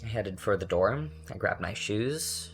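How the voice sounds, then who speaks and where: A man acting as a bitter, taunting young man in a confessional dramatic monologue, with a sardonic, halting, conversational delivery. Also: lowish voice, animated, gravelly. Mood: hurt, simmering. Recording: music under the voice. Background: music.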